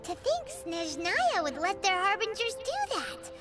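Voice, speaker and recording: Chirpy floating fairy sidekick. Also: female voice, very high-pitched, unhurried, heavily acted. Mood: exasperated. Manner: chirpy, excitable, speaks of herself by name. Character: tiny floating female fairy guide, chatty and bossy. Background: game music.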